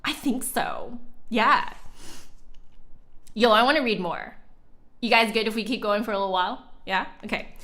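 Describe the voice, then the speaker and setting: A woman's voice: on the high side, animated, moderate pace, long pauses, pushed and bright. A woman livestream host reading an old text aloud dramatically, breaking off for informal, chatty asides to viewers.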